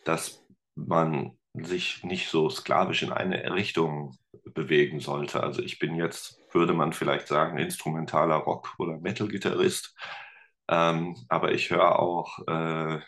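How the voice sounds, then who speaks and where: A man with an exceptionally low voice speaks as a podcast guest. He talks casually and hesitantly, pausing on fillers while he searches for words. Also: fairly even intonation, fairly bright tone. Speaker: podcast guest, teacher and guitarist. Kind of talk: casual interview talk.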